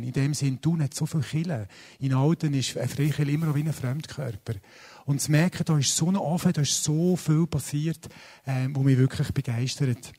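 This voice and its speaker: Chatty Swiss German pastor, fairly high voice. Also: male voice. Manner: lively, chatty and self-deprecating, with frequent fillers. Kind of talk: sermon. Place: church service.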